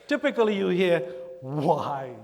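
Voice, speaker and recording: A man with a Trinidadian accent preaching a sermon, animated, acting out church members in quoted voices. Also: high voice, unhurried, creaky, long reverb tail. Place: church sanctuary.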